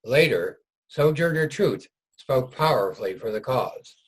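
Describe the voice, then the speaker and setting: A man's voice: medium pitch, expressive, measured pace, breathy edge, rough recording. A man reading scripted narration as the narrator of a reader's theater production.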